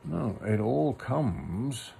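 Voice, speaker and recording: A man's voice: medium pitch, very animated, drawn-out words, creaky, dark tone, a little hiss or hum, exaggerated voice. A man voicing a polite, fussy, evasive storybook rabbit with a prim manner that can turn stern. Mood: stern, reproving. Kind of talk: storybook character reading.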